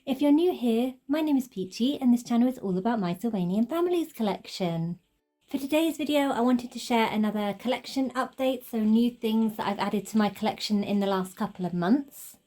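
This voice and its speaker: A woman's voice: a little high, animated, a little fast, warm tone. A woman hosting her toy collection channel, chatting enthusiastically through a casual show-and-tell, her voice still slightly off from a recent cold. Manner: chatty, enthusiastic, gushing over tiny details. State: slightly off voice while recovering from a cold.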